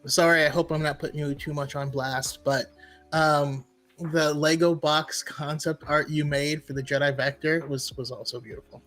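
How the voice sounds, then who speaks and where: A man with a moderately high voice chats as a co-host. His delivery is casual and enthusiastic. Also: fairly even intonation, moderate pace, fairly bright tone, faint music behind. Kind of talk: casual chat.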